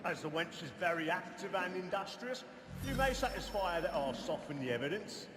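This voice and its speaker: Theatrical rogue, high voice, pompous, declamatory, very echoey stage sound. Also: male voice, lively intonation, brisk. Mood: scheming, scornful. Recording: reverberant theatre stage, loud music behind.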